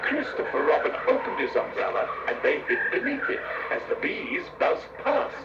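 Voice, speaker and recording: A man's voice: on the high side, huge pitch swings, normal speed, recorded from a distance. A man narrating a scripted children's story as the storyteller. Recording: dull cassette, roomy, music behind.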